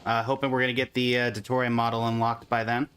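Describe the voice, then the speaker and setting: A man's voice: mid-range voice, flat delivery, fast talker, a bit bright, a little hiss or hum. A man livestreaming a game, chatting casually and in a friendly way with viewers while commenting on his play.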